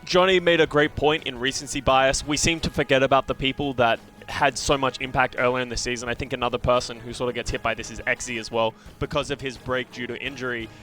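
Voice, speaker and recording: A man's voice: mid-range voice, steady tone, fast, hardly any pauses, a bit bright. Eager man from Sweden analysing esports. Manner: eager, playful. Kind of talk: live esports desk analysis. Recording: music bed behind.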